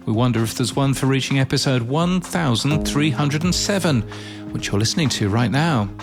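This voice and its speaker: Guy reading a podcast news bulletin, medium pitch, bit wry, music playing behind him. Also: animated, normal speed. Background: background music.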